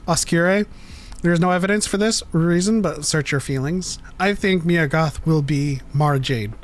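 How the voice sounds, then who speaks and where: A man's voice: a little high, moderate pace. A man co-hosting a casual livestream chat, reading viewer questions, rambling and joking with frequent laughs. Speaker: podcast co-host reading live chat.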